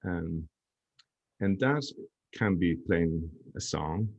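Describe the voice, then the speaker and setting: A man's voice: deep voice, expressive, extremely slow, dull audio. A man chatting as a podcast guest, telling stories in a reflective, easygoing way. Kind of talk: casual chat.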